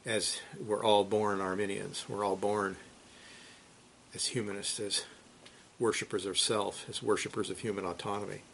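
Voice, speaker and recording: Bright-voiced man teaching theology. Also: fairly low pitch, level tone, moderate pace, vocal fry, a little hiss or hum. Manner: emphatic, forceful reading; looser, repetitive commentary with restarts. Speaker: Bible study teacher. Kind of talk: reading a theology book aloud, then commenting and praying.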